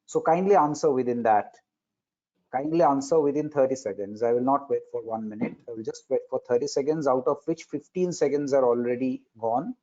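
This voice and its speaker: A man's voice: medium pitch, a little fast, mellow. A man, moderating a webinar and politely running timed polls. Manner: polite, procedural, counting down the time. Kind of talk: moderating an online session. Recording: dull lo-fi online call audio.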